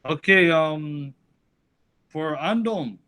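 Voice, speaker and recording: Male panel host, slightly high voice, brief affirmations between guests. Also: very slow, a bit bright, clear, resonant tone. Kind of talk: casual panel moderating.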